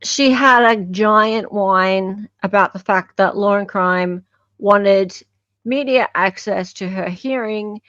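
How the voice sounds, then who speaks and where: A woman's voice: mid-range voice, takes it slowly, a bit bright, dry recording, dull audio. An Australian woman hosting a livestream with casual, unscripted commentary, often searching for her words. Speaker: livestream host.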